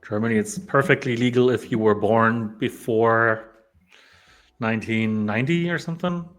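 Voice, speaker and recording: A man's voice: mid-range voice, moderate pace, fairly bright tone. A man from Poland hosting a developer live stream, chatting casually with lots of fillers and restarts. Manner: rambling, many fillers and restarts.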